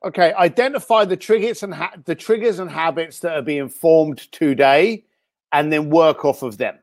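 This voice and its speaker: Blunt high-voiced male guest. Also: moderate pace, no echo, clean studio sound. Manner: blunt, punchy advice. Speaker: podcast guest. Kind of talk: interview chat.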